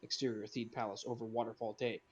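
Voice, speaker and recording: A man's voice: lowish voice, level tone, quick pace, breathy edge. A man narrating a script informally for an amateur comedy reading, sometimes stumbling over the words.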